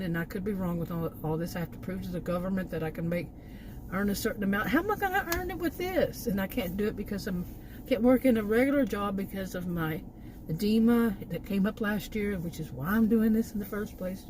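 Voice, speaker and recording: A woman's voice: mid-range voice, lively intonation, fairly quick, darkish tone, slight background noise, poor audio. An American woman vlogging in a casual, rambling rant with an exasperated manner. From Texas, United States. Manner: exasperated, chuckles between remarks. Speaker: food delivery gig worker, vlogger.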